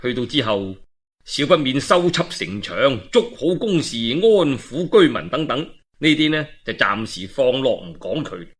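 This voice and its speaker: A man's voice: medium pitch, big pitch swings, moderate pace. A man with a Cantonese accent telling a historical novel in a traditional oral style, with colloquial asides and dramatic phrasing. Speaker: storyteller.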